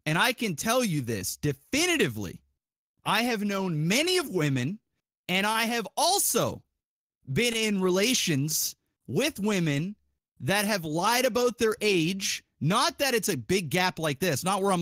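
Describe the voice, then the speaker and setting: A man with a distinctly high voice holds forth on a podcast in a dry-sounding recording. His delivery is casual and animated, wandering through arguments with plenty of swearing and strong opinion. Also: a little slow, no room sound. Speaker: podcast host.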